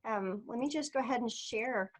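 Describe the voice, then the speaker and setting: A woman's voice: on the high side, moderate pace, poor audio. A woman hosting a webinar, upbeat and laughing often in casual chat. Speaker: webinar host.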